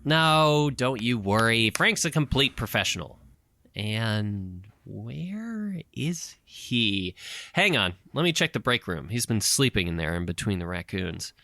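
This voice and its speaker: An actor playing a chirpy, jokey, overeager small-town radio station man in an audio comedy, with excitable patter. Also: on the low side, measured pace, no echo, clean studio sound, exaggerated voice. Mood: reassuring, chirpy. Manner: giggly, excitable salesman patter. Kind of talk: scripted audio comedy acting.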